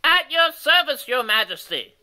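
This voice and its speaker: A small storybook messenger, eager to please the throne. The voice is very high and reedy, piercingly bright, and formally obedient. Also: male voice, very animated, unhurried, exaggerated voice. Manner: formal, deferential.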